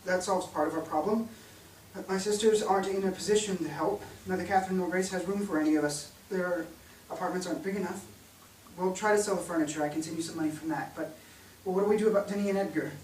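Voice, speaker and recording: A man's voice: high voice, steady tone, fast, darkish tone, close to an everyday voice. Actor as tired stern father, resigned manner, period family drama, roomy far-off sound, some noise. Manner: hesitant, resigned, turning stern. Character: worn-down, stern laid-off father of a 1930s-style household. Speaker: stage actor. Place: indoor theatre stage, recorded from afar. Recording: roomy theatre, distant mic, hissy background.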